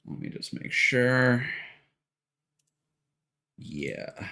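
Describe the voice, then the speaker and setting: A man chatting casually and haltingly as he commentates on his own video game stream, thinking aloud. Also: mid-range voice, lively intonation, creaky, pushed and bright. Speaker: live streamer. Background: video game music.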